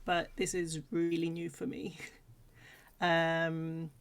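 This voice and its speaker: Slow-talking woman, casual streamer. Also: low-pitched, completely flat delivery, clear, resonant tone. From Sweden. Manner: informal, giggly, chatty. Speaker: co-host of a livestream talk show. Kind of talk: casual livestream chat.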